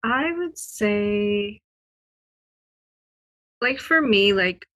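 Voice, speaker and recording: A woman's voice: mid-range voice, lively intonation, very slow, a bit bright. A woman from Sri Lanka chatting casually as a podcast guest, informal and a little tentative, checking that she makes sense. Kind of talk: casual chat.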